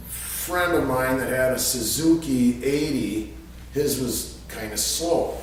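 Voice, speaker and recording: A middle-aged man casually telling a story in a colloquial, crude, joking way, recorded in a garage on a camera several feet away. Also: mid-range voice. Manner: colloquial, crude humor, chuckles as he tells it. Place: garage workshop, indoors. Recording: echoey, distant camera mic with faint noise.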